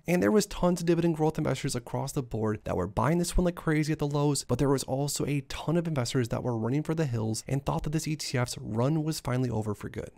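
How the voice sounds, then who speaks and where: Male YouTuber, 30s, gravelly and quick, upbeat casual pitch to viewers. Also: a little mellow. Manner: upbeat, hyped-up talk with lots of filler phrases. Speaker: dividend investing YouTuber.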